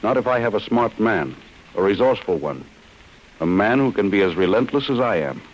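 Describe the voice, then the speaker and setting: Man playing a stern, very deep-voiced investigator. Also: expressive, close to an everyday voice. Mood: grim, resolute. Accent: American. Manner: stern, commanding, deliberate. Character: cold, relentless male chief insurance investigator. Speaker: actor in a radio detective serial. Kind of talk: old-time radio acting. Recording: muffled, hissy old radio broadcast.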